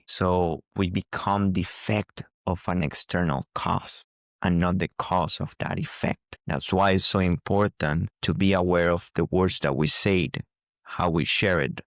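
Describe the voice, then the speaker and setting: Deep-voiced non-native English-speaking man, teaching. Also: gravelly, dry recording, clean studio sound. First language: not English. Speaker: channel host and spiritual teacher. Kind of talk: spiritual teaching talk to viewers.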